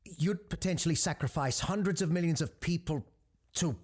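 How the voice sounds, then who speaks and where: An actor playing a learned, cautious doctor who hosts a radio show in an audio drama, husky-voiced, hesitant and earnest. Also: male voice, fairly high pitch, normal speed. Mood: alarmed, earnest.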